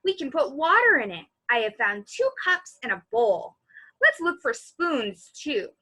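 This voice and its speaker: Woman reading as a sensible, lively older sister. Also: high-pitched, measured pace, full character voice. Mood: encouraging. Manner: encouraging, organising. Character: kindly, sensible, motherly older sister, a young girl. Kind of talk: children's storybook character voice.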